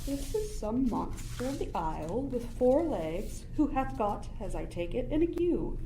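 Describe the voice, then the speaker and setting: Roomy noisy recording, highish woman as swaggering drunken female sailor, theatrical, blustering, Shakespearean radio play. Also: sing-song, unhurried, warm tone. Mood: bossy, scheming. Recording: roomy sound with clear background noise.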